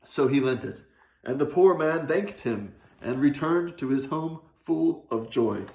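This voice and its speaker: Male storyteller, slow measured pace, scripted folk tale narration, expressive, old-fashioned delivery, roomy echo. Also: mid-range voice, vocal fry. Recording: roomy, echoing sound.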